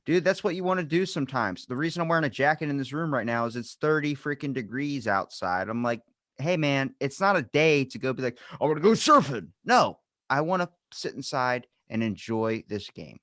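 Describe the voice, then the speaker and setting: A man's voice: medium pitch, animated, a little fast, fairly bright tone, dry recording, clean studio sound. A man hosting a podcast in casual, slangy chat, acting out little quoted exchanges as he talks. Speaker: podcast host.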